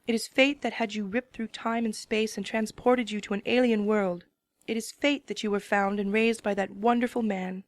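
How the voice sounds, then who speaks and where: A woman voicing a solemn female pony princess who speaks in a grave, measured, tearful way, in a dramatic reading. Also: mid-range voice, no echo, natural voice.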